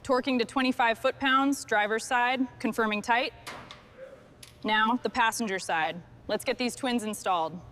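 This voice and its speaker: A woman with a slightly high voice talks through hands-on mechanical work. She speaks in short instructions and checks, as the mechanic leading the job. Faint workshop noise sits behind her. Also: moderate pace, fairly bright tone. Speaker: mechanic leading a truck restoration.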